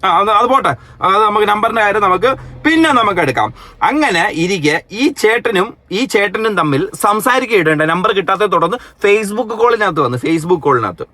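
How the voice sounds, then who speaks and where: Male Kerala commentator, high-pitched and sharp, mocking and casual, closely recorded dry sound. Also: expressive, fairly quick, dry recording. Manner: mocking, sarcastic, reads comments aloud theatrically. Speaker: online commentary host.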